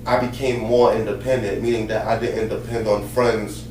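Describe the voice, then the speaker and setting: A Haitian-born man, a pastor and host telling his life story in a relaxed, casual chat full of fillers. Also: fairly low pitch, normal speed. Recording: roomy sound from a distant mic with faint noise.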